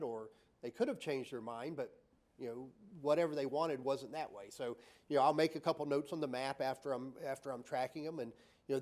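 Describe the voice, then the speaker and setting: A man's voice: on the high side, warm tone. A man, a designer on a panel, answering questions in a loose, filler-heavy way, often ending points with "right?" and acting out examples. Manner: many fillers and restarts, tag "right?"; explains with acted-out examples.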